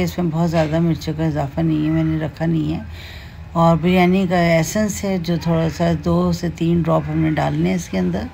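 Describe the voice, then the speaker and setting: A woman with a Pakistani Urdu accent hosting a home cooking tutorial, explaining each step in an unhurried, homely way with many fillers. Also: lowish voice, mellow, slight background noise. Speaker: cooking channel host. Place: home kitchen.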